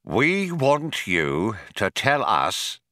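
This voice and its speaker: A man voicing an earnest, excitable computer programmer, urgent and high-strung, in a storybook reading. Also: extremely slow, no echo, studio quality, heavily acted. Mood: reverent.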